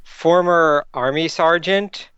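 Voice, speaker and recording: Smooth, higher-voiced man at a tabletop game. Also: slow, a bit bright, no room sound, lo-fi sound. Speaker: player in a tabletop role-playing game. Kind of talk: casual chat.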